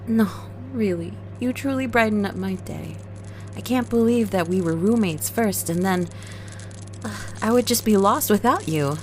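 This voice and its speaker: A woman's voice: mid-range voice, moderate pace, a little mellow, a little hiss or hum, close to an everyday voice. A woman playing a doting werewolf girlfriend in a comedic ASMR roleplay, gushing in baby talk with pet names. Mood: tender, weary. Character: doting, sugary werewolf girlfriend home tired from work.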